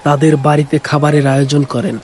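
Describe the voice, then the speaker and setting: A man narrating a Bengali village cartoon story in a plain, steady storytelling voice. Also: fairly high pitch, fast talker, faint music behind. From Bangladesh.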